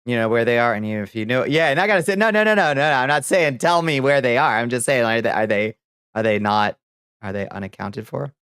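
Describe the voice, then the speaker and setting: A man hosting a livestream, chatting casually with viewers and commenting on news in a halting, profane, muttering way. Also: mid-range voice, lively intonation, a bit bright, clean tone, no room sound, clean studio sound. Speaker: livestream host reading viewer chat.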